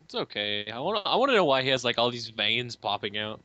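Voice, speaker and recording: A man's voice: mid-range voice, very animated, fast talker, sharp tone, no echo, highs cut off. A man with a mid-range voice riffs casually as co-host of a fan commentary. He is crude and jokey, swears freely and likes mock quotations. The sound is dry, with little room to it. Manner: crude, profane, breaks into mock voices and quotes.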